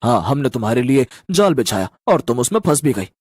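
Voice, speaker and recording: A man's voice: medium pitch, very animated, fairly quick, a little mellow, husky, dry recording, studio quality, pitched below the performer's own voice. A man voicing foolish, earnest young village men in a cartoon story, eager and emphatic. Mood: triumphant.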